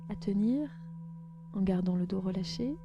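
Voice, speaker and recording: A woman host leads a guided relaxation meditation, speaking calmly and gently in slow, spaced instructions. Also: medium pitch, lo-fi sound. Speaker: podcast host and yoga nidra guide.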